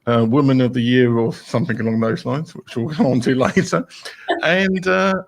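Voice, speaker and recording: A man's voice: medium pitch, steady tone, normal speed, creaky. A man hosting a casual online interview, introducing his guest in a rambling, hesitant way with many fillers. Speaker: host.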